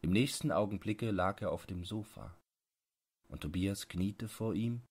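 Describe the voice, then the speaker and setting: A man narrating a literary bedtime audiobook, reading with measured, deliberate phrasing. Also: deep voice, a little mellow, breathy edge.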